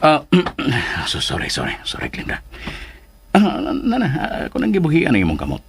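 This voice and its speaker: An actor playing a bashful, pleading middle-aged suitor in a Filipino radio drama, hesitant and stammering, with a Filipino accent. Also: male voice, mid-range voice, wildly swooping pitch, moderate pace, fairly bright tone, exaggerated voice. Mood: pleading, dejected. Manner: hesitant, stammering, nervous throat-clearing and chuckles. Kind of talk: radio drama acting, melodramatic.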